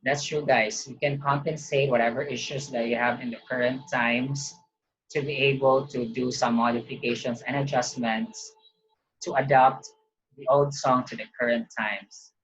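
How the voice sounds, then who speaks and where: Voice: mid-range voice, flat delivery, normal speed. Upbeat reaction host on a dull mic. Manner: chatty, upbeat, talks to viewers. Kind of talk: casual reaction commentary.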